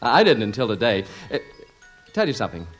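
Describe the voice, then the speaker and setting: An actor playing an excitable, sentimental husband and father in a 1950s radio comedy, stammering and emphatic. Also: fairly low pitch, fast talker, soft background music. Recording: vintage 1950s radio recording.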